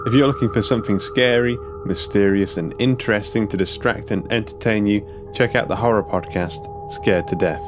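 Low-pitched male podcast announcer over music. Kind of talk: scripted sponsor read and episode introduction.

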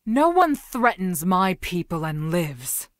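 A woman's voice: lowish voice, sing-song, slow pace, no room sound, studio quality. A woman playing a proud, stern warrior commander of wind and storms in a video game, with imperious, clipped, theatrical delivery. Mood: cold, resolute. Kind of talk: video game character voice lines.

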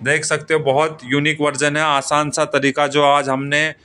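Bright, clear-voiced man hosting a cooking vlog. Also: a little high, flat delivery, moderate pace. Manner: eager salesy repetition, keeps urging viewers to watch. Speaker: cooking channel host.

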